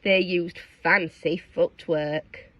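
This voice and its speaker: A woman reading a storybook aloud to young children, dramatic and expressive, engaging her listeners with questions. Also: lowish voice, drawn-out words, no echo, lo-fi sound. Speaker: storybook reader.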